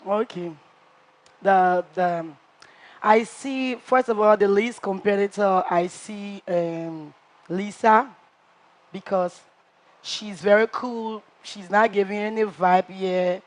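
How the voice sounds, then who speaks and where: A woman plays a brash, dramatic reality-show contestant. She tells her side with lively storytelling and acts out everyone's lines. Her voice sits in a middle pitch. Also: takes it slowly, close to an everyday voice. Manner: animated storytelling, acts out quotes.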